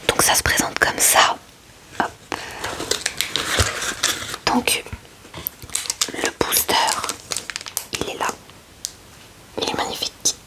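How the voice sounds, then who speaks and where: A woman whispers a gentle, hesitant, unhurried ASMR commentary close to the microphone as she hosts. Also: poor audio. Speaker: ASMR host showing a card collection.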